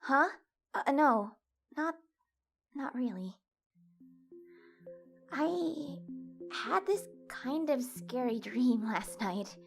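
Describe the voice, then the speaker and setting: Casting: actress as earnest young woman for audio drama, higher voice, nervous and hesitant, music underneath. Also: expressive, creaky, full character voice. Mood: sleepy, awkward. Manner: halting, flustered, hesitant. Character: anxious young woman, tired, earnest gamer.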